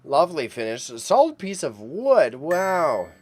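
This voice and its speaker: A man hosting a casual auction livestream, chatty and excitable as he rambles to his chat, with a mild impediment on sh and ch sounds. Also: a little high, measured pace, slight background noise. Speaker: livestream host reacting to an online auction. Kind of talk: casual live stream commentary.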